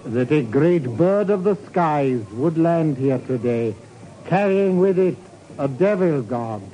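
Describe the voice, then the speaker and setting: An actor playing a courteous, grave Indonesian government geologist who speaks formally and ominously in a 1950s radio drama. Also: male voice, on the high side, lively intonation, slow pace, highs cut off. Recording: noisy 1950s radio recording.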